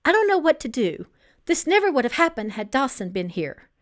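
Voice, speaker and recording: A woman's voice: high-pitched, big pitch swings, a little fast. A woman voicing a timid, bookish young male assistant in an audiobook, flustered and anxious, stammering a little. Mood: anxious, apologetic.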